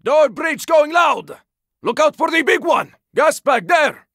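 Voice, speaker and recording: A man voicing a gritty, battle-weary survivor fighter in a zombie video game, with a raspy, gravelly voice and forceful short callouts. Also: very high voice, expressive, slow pace, dry recording, clean studio sound, full character voice. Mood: urgent, battle-ready. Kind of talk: video game combat barks.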